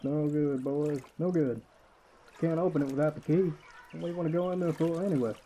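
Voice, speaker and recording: An actor playing a kindly, folksy old waterworks caretaker in a radio play. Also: male voice, on the high side, steady tone, fairly quick, warm tone, slight background noise, natural voice. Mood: cautioning. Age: older.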